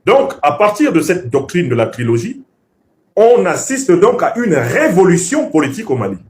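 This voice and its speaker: Man, Bassa speaker, didactic political orator, emphatic, highly varied intonation, slightly high voice. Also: sing-song, normal speed. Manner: emphatic, professorial, rhetorical repetition. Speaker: political commentator.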